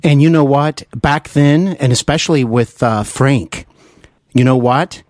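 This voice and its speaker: A man's voice: mid-range voice, unhurried, a little mellow, dry recording. A man hosting a conversational interview, speaking in an enthusiastic, emphatic way with frequent tag questions. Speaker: interviewer, show host. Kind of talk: conversational radio-style interview.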